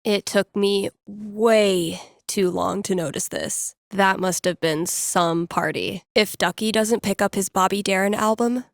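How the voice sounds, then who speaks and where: Young woman, laid-back game-character DJ, dry wit, conversational, gravelly edge, dry studio sound. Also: medium pitch, unhurried, hardly any pauses, no echo. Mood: wry, musing. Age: young adult. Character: wry young woman, laid-back record-store DJ and gamer. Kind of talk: video game voice acting, casual muttering to herself.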